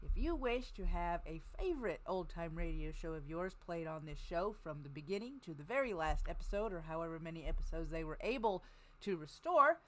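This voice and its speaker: Low-voiced woman hosting casually. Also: fairly bright tone, rough recording. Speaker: host of an old-time radio show. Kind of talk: casual panel chat and announcements.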